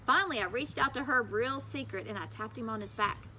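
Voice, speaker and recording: A woman's voice: on the high side, brisk, pushed and bright, slight background noise. A woman reading a children's story aloud as a teacher, narrating expressively with emphatic, childlike phrasing.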